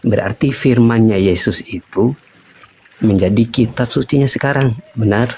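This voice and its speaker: A man putting questions in a debate over a voice call, halting and restarting while he keeps checking for agreement. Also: medium pitch, warm tone, slight background noise. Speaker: caller questioning a guest.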